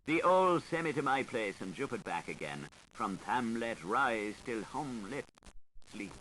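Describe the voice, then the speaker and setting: Guy reading an old audiobook, very theatrical, pitch all over the place, playful pauses, bit of hiss. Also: low-quality recording. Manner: expressive, deliberate, playful recitation with dramatic pauses. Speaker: audiobook narrator. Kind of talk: theatrical literary audiobook narration. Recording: 1985 audiobook recording with faint hiss.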